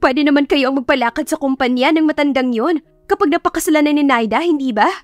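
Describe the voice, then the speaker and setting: Spoiled scheming sister played by a woman, young, high-voiced, whiny and emphatic, audiobook melodrama. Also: fast talker, no room sound, faint music behind, natural voice. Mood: pleading. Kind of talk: audiobook character acting, melodramatic.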